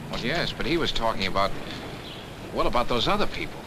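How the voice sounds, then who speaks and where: An actor playing a doubtful, easily swayed bystander, hesitant and questioning, in 1940s film style. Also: male voice, medium pitch, lively intonation, a little fast, close to an everyday voice. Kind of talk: 1940s film acting, conversational. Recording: noisy old film street scene.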